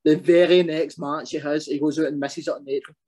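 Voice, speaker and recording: A man's voice: fairly high pitch, steady tone, fast, dark tone. A man from the Glasgow area of Scotland with a thick Glaswegian accent, a podcast guest chatting bluntly and colloquially. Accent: Glaswegian Scottish. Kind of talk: casual chat.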